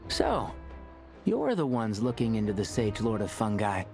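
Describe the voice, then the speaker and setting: Animated, articulate young male scholar. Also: on the low side, a little slow, creaky, heavily acted. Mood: composed. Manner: articulate, explanatory. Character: young male scholar, knowledgeable, calm and friendly. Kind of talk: video game voice acting. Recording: game music behind.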